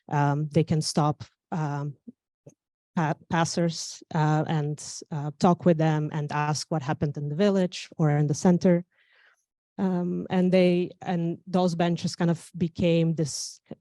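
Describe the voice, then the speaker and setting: A woman's voice: sits low, warm tone, no echo, clean studio sound. A young woman from Bulgaria with a Bulgarian accent giving a conference talk, hesitant and full of fillers and restarts. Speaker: conference speaker. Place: conference venue.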